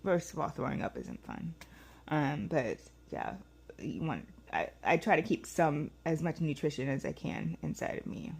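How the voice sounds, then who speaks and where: A woman in her thirties with a hoarse voice, rambling casually through a day-in-the-life vlog at home, with many ums and restarts. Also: low voice, expressive, normal speed, a little mellow. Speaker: vlogger. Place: at home, kitchen and house.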